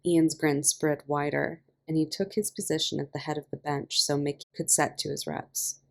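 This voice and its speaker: A woman reading a story aloud as a podfic narrator, with expressive scripted delivery. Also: deep voice, normal speed, vocal fry. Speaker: podfic reader.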